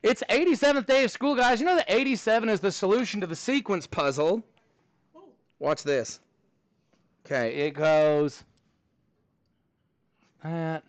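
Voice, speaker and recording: A man's voice: high voice, animated, a little slow, long pauses, no echo. A man with a Texan accent teaching a middle school math class, chatty and joking with his students between problems.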